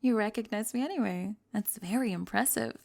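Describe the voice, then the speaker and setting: A woman's voice: medium pitch, brisk, vocal fry, dry recording, clean studio sound. A woman acting a famous young pop star on a date in an ASMR roleplay, confiding and hesitant, kind and playful but world-weary. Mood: sweet, teasing. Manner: confiding, hesitant, playful, trailing into soft murmurs. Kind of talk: intimate ASMR roleplay audio, one-sided date conversation.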